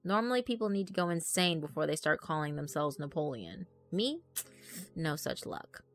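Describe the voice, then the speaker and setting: A woman's voice: sits low, expressive, moderate pace. A woman voicing an awkward, sardonic young adult in a narrated story, hesitant, casual and dry. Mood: dry, polite. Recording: background music under the voice.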